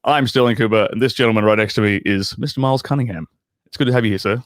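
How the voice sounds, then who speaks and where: A man's voice: on the low side, very fast, no room sound. A man hosting a podcast interview, upbeat and chatty, asking eager questions. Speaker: podcast host. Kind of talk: casual interview chat.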